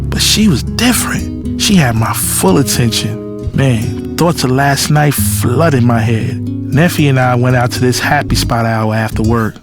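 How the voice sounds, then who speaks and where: A man's voice: medium pitch, lightly acted. Cocky playboy man acting, music behind. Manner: slangy, nonchalant, sensual. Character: cocky, blunt playboy lover narrating his affair. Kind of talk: first-person audio drama narration. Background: music with guitar.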